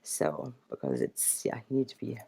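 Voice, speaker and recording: A woman with a low-pitched voice co-hosts a radio show. She chats informally, in an affectionate and halting way. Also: sing-song, creaky, warm tone. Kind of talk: casual chat.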